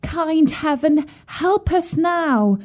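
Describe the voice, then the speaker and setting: A woman's voice: high voice, sing-song, a little slow, pitched above the performer's own voice. A woman voicing a frightened little girl in a fairy tale, with a plaintive, pleading manner. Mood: pleading, despairing. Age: child. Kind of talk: storybook character voice.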